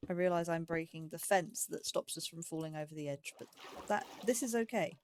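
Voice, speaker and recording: A woman's voice: fairly low pitch, a little fast, a little hiss or hum. A woman giving casual let's play commentary, chatting and musing aloud in a self-deprecating way. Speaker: gaming YouTuber, host of a let's play series.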